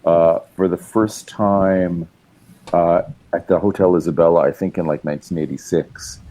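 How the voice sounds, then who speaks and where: A man's voice: sits low, steady tone, moderate pace, mellow. A male musician and writer, a guest telling long, rambling stories in casual chat, with many fillers and restarts. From Canada.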